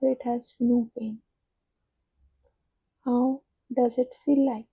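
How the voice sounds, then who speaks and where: A woman leading a guided meditation in a soft, soothing manner, giving unhurried instructions with long pauses. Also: on the high side, little pitch movement, slightly rough, no echo.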